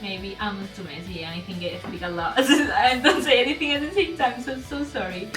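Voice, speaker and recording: A woman's voice: medium pitch, expressive, moderate pace, fairly bright tone. A woman vlogger with a strong non-native English accent, talking casually to camera, rambling with many fillers and restarts and laughing often. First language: not English. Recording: echoey room, voice a bit far from mic, faint music.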